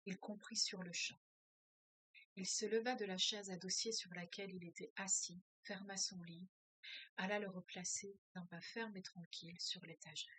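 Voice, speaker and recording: Female workshop leader at a mid pitch, reads passages and then muses out loud with lots of pauses and filler sounds; close, dry sound. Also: fairly even intonation, slightly rough, no echo. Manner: hesitant, many filler sounds, thinks aloud. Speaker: French as a foreign language teacher. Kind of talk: reading a novel aloud with commentary.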